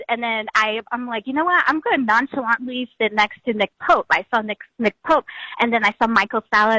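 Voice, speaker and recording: Bubbly young woman caller, higher voice. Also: no echo, rough recording. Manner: chatty and excitable, says "like" often, laughs easily. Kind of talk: phone call to a radio show.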